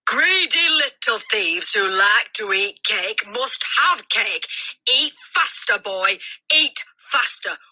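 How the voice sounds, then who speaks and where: A tyrannical headmistress from a children's story, as a woman reader acts her out. Her voice is harsh and husky, very forceful, and she stretches out her commands. She is sneering and explosive, a bully through and through. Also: a little high, expressive, voice pushed up, exaggerated voice. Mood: furious, shouting. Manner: commanding, drawn-out, shouted orders. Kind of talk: children's storybook read-aloud, character voice.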